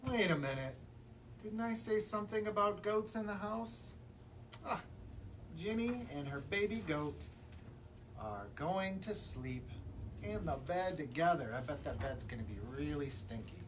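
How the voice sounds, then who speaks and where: Animated man reading a children's story, distant mic. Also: high voice, unhurried. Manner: animated, addresses young listeners with questions. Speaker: librarian story time reader. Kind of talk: expressive children's picture book read-aloud. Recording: distant mic, room noise.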